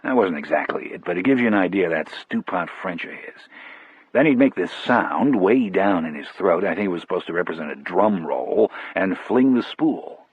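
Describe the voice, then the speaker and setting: A man reads a scripted first-person story with measured reflection. His voice is low and husky with lively intonation. The recording is thin and band-limited, like a small radio. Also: no echo, dull audio. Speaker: audiobook narrator.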